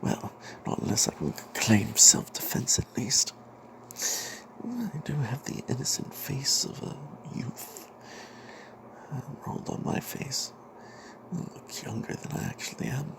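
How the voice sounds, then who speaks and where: A man tells a tabletop fantasy adventure as an in-character narrator, in a breathy, hushed, secretive ASMR whisper with dramatic pauses. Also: medium pitch, sing-song, noisy background. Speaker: narrator telling the tale in character as a cleric.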